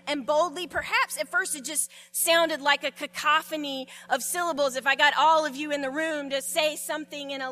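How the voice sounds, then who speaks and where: Lady pastor giving a sermon, slow and storytelling, bright high voice. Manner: expressive storytelling with repeated words and dramatic pauses.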